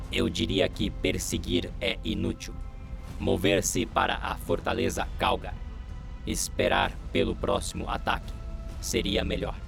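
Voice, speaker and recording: A man playing a hesitant, deferential military logistics aide with a gravelly voice in a sci-fi audio drama. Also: deep voice, full character voice. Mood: measured, formal. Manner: halting, deferential, formal. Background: music.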